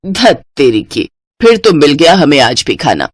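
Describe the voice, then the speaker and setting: Lady doing a low grumbly old mother-in-law, weary n dramatic, family storybook stuff, close dry sound. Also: big pitch swings, measured pace, breathy edge, no room sound, heavily acted. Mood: hungry grumbling. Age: older. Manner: grumbling, theatrical lamenting.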